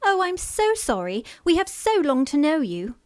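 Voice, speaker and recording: A woman's voice: high-pitched, sing-song, moderate pace, no room sound, clean studio sound. An actress playing a sweet, trusting young Victorian lady in a radio farce, earnest and polite. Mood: earnest, admiring.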